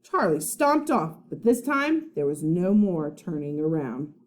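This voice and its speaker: A woman teacher reading a children's story aloud expressively, pausing to put questions to young listeners. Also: deep voice, expressive, a little mellow. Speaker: first grade teacher.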